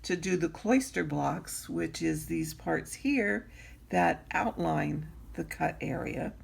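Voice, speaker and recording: A woman with a raspy voice teaching needlework in an easygoing tutorial, pausing often with ums and clearing her throat. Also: low voice. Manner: slow, unhurried, frequent ums and throat clearing. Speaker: embroidery teacher. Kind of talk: instructional craft tutorial.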